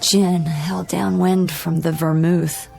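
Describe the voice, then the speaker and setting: A woman's voice: lowish voice, little pitch movement, slow pace, vocal fry, a little mellow, faint music behind, exaggerated voice. An American woman playing a wry, teasing young wife in a radio drama, chatty and needling. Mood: dryly teasing.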